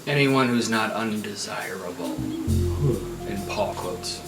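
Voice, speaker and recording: Man as a halting, sheepish young noble musician. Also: fairly low pitch, roomy sound, distant mic, music in the background. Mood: self-deprecating. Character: awkward, self-deprecating young nobleman musician at magic university.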